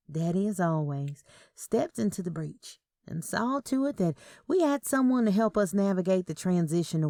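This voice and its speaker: A woman narrating a scripted first-person story in a measured storytelling manner. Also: mid-range voice, mellow, no echo, studio quality. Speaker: audio fiction narrator.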